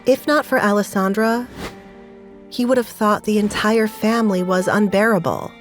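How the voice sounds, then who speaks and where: Woman, medium pitch, scripted dramatic narration, storyteller, faint music underneath. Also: moderate pace.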